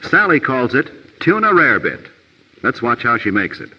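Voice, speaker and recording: A man's voice: mid-range voice, very animated, moderate pace, breathy edge. A man giving scripted narration for an educational film from the 1950s. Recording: old film soundtrack, muffled, reverberant.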